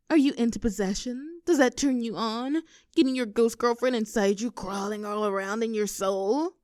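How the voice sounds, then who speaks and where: High-voiced, teasing girlfriend, acted by a woman. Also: no echo, studio quality. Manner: teasing, animated, mock-indignant, cooing. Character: playful, clingy, teasing young girlfriend, affectionate and whimsical. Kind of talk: intimate girlfriend roleplay audio, scripted one-sided conversation.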